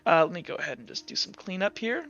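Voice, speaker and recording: A man's voice: high voice, expressive, fast talker, gravelly, fairly bright tone. A man running an online tabletop roleplaying game as game master, chatting and explaining things informally. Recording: roomy echo, dull lo-fi sound. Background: music.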